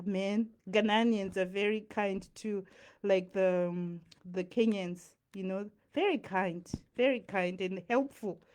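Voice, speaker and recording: A woman's voice: a little high, a little mellow. Chatty young woman from Zimbabwe. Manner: chatty, rambling, with many fillers and asides. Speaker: vlogger. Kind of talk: casual talking-head monologue.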